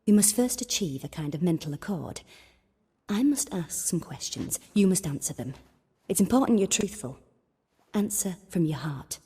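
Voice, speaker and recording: A solemn female dream seer in a fantasy game. Her voice is lowish, soft and dark with a husky breath, and she instructs calmly in measured phrases. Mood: calm, instructing. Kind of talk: video game dialogue.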